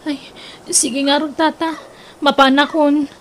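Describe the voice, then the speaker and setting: A woman's voice: sits high, level tone, slow pace. A young woman with an Ilocano Filipino accent playing a determined daughter, earnest and insistent, in a radio drama. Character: determined young daughter eager to marry her boyfriend. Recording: noisy radio drama recording.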